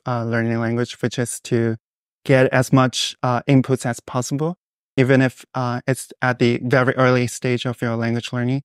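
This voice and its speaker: A young man speaks in a smooth, clear voice that stays flat and even in pitch. He answers interview questions thoughtfully, with many small pauses and fillers. The sound is dry and close. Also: dry recording. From China. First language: Mandarin Chinese. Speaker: guest.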